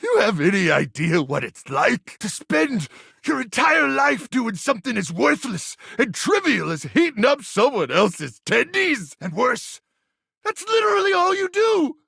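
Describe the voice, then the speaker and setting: A man voicing a gung-ho but insecure soldier-like microwave in a video game, gravelly and strained, with melodramatic bravado and boyish slang. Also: very high voice, very animated, unhurried, sharp tone, dry recording, clean studio sound, full character voice. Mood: exasperated confession. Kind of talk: animated video game voice acting.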